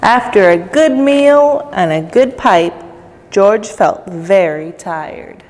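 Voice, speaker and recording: A woman's voice: mid-range voice, slow pace. Woman reading a storybook in echoey reverb. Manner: expressive, with playful asides to young listeners. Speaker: volunteer storybook reader. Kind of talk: children's storytime read-aloud. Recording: long echoey reverb, faint music behind.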